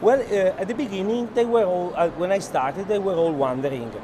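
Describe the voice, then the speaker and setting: Higher-voiced man, noisy hall. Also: fairly quick. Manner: earnest, hesitant non-native English phrasing. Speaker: art gallery owner. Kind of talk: unscripted conversational explanation. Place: art fair hall, on a walking tour. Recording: noisy busy indoor fair hall.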